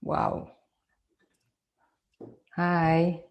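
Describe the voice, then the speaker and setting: A woman in her forties from Israel with an Israeli accent, speaking slowly and carefully as she gives a live online talk to parents. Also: on the low side, completely flat delivery, gravelly, a little mellow. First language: Hebrew. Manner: slow, deliberate, and careful for her listeners. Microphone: phone.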